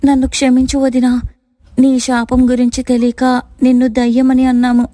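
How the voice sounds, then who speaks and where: A woman acts a suspicious, anxious young sister in a moral tale. Her delivery is urgent and insistent, her pitch fairly high. The recording is dry. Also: monotone, normal speed, dark tone, no room sound, big-room sound, lightly acted. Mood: apologetic.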